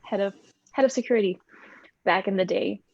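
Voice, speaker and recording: A woman from Denmark and Germany, a language teacher, introducing herself in a chatty, relaxed way over a video call. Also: mid-range voice, fairly quick, gravelly, no echo. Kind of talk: casual self-introduction. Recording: dry, dull video call audio.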